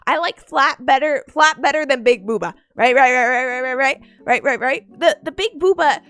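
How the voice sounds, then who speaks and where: A woman's voice: high-pitched, normal speed, a bit bright, clean tone, no echo. A woman streamer chatting casually with her viewers, playful and giggly, repeating herself, groggy from just waking up. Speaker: VTuber streamer. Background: faint background music.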